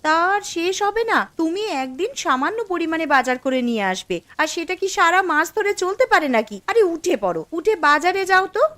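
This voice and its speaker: A woman's voice: very high-pitched, big pitch swings, a little fast, hardly any pauses, fairly bright tone, dry recording, heavily acted. A woman voicing a busy young housewife and mother in a cartoon, practical and a little scolding. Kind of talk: cartoon voice acting.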